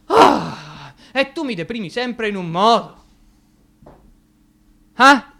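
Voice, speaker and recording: A man's voice: extremely high pitch, very animated, takes it slowly, vocal fry, bright, forceful tone, heavily acted. A man acting the part of an anxious, sentimental young clerk in a theatrical radio drama, with an emotional, fretful delivery. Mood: anxious, defensive. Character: anxious, excitable, sentimental young male clerk in old Russia.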